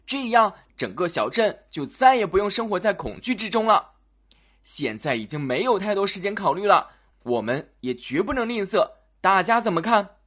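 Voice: medium pitch, fairly bright tone, husky, voice pushed up. A storyteller voicing an earnest fairy-tale town mayor who speaks in a weighty, persuasive way. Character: earnest, solemn town mayor making a plan.

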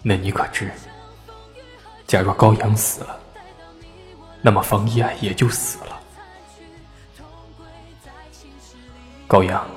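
A man's voice: on the low side, natural voice. A man playing an embittered, lovelorn young noble husband in a Chinese historical audio drama. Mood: grieving, devoted. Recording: long reverb, faint music behind.

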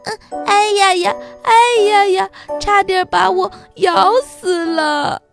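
Woman voicing a high-pitched, naive giraffe. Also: takes it slowly, over music. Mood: pained, panicked. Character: honest, gullible, kindly male giraffe.

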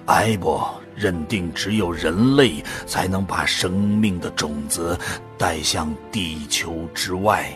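A low-voiced actor playing a man whose consciousness is fading narrates a science fiction radio drama in a solemn tone. He speaks slowly and deliberately, with loud music running underneath. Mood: solemn farewell. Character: first-person narrator, a solemn fading consciousness bidding farewell.

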